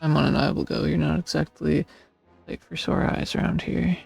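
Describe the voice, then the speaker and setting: A man streaming a game with casual commentary in a gravelly, husky voice. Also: fairly high pitch, little pitch movement, normal speed, darkish tone, faint music behind.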